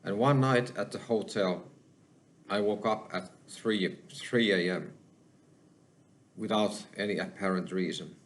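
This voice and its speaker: A man with a Finnish accent, hosting his own channel and talking alone to the camera, slow and halting, with many pauses. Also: on the low side. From Finland. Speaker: channel host. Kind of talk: solo spoken monologue.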